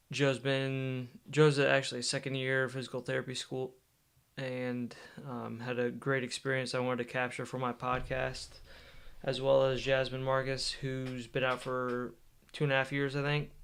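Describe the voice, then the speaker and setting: A man's voice: mid-range voice, smooth. A young man chatting casually in a vlog about his studies and his podcast, losing his voice. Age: young adult. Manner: relaxed, rambling delivery with fillers. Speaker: vlogger and podcast host.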